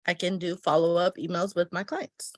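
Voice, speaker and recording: Woman talking thru her weekly planner, kinda stuffy from a cold, chatty think-out-loud vibe, dry close mic. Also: on the low side, moderate pace, dry recording. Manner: thinks aloud while filling in a planner, relaxed and chatty. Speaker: travel agency owner teaching planning. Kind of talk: casual step-by-step tutorial.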